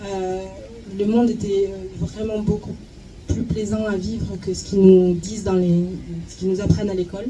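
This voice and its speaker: A woman working as a consecutive interpreter at a talk, halting and searching for words as she translates. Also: mid-range voice, flat delivery, mellow, a little hiss or hum, poor audio.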